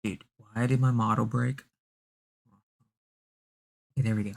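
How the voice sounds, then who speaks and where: A man giving a casual live reaction as a streamer, halting and scared, with informal slang and swearing. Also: mid-range voice, steady tone, vocal fry, a little mellow. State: scared, on edge. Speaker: streamer reacting to a horror video.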